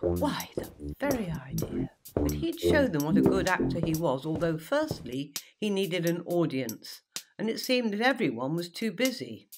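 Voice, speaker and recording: A woman, a children's author, narrating a bedtime story in a gentle, expressive way. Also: low voice, moderate pace. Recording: loud background music. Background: music.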